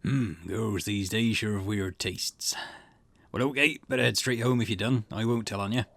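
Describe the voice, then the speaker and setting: Man playing a low-voiced grumbling school janitor. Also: fairly even intonation, moderate pace, gravelly, a bit bright, no echo. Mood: paternal. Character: gruff but caring adult male school janitor.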